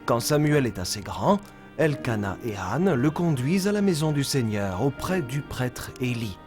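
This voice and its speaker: Male narrator for a children's Bible cartoon, animated intonation, medium pitch, faint music behind. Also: moderate pace. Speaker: storyteller.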